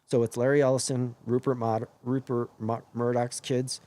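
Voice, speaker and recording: A hoarse man hosting his own live stream, talking earnestly in a rambling way and often correcting himself mid-sentence. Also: mid-range voice, level tone, normal speed, mellow. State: hoarse after illness. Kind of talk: casual live-stream monologue.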